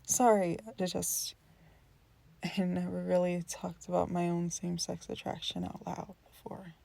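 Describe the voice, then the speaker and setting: A woman's voice: lowish voice, monotone, measured pace, gravelly, mellow. A woman playing an earnest, introspective young friend in naturalistic scripted dialogue, speaking tentatively and confidingly. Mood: vulnerable, halting.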